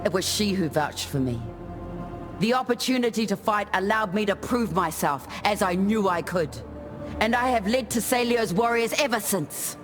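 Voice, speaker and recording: Sincere warrior chieftain, light-voiced. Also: male voice, high-pitched, animated, moderate pace. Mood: proud, sincere. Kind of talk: video game character dialogue. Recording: loud music behind the voice.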